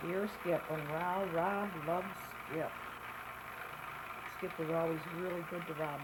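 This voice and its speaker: An older woman with a gravelly, slightly low voice talks casually as she looks back over old family slides, clearing her throat now and then. The home recording has a clear hiss behind her. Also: fairly even intonation, unhurried. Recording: home recording with noticeable background noise.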